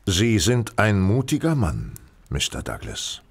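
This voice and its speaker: A superior gentleman detective in a radio drama. His voice is low and creaky, swinging through wide intonation as he speaks with dry, formal condescension. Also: huge pitch swings, unhurried, exaggerated voice. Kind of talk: German radio play acting.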